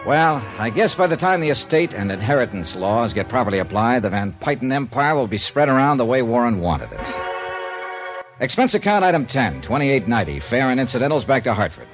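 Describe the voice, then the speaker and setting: An actor playing a hard-boiled, sardonic male insurance investigator with a brisk, accusing delivery, in a 1950s radio drama. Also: medium pitch, big pitch swings, music in the background, close to an everyday voice. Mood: wry.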